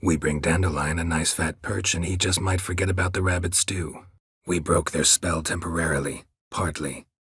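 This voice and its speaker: A male actor playing a stoic, world-weary monster hunter in a video game, terse and deadpan, with a low gravelly rasp. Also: dry recording, studio quality, exaggerated voice. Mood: calm, matter-of-fact. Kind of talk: video game character dialogue.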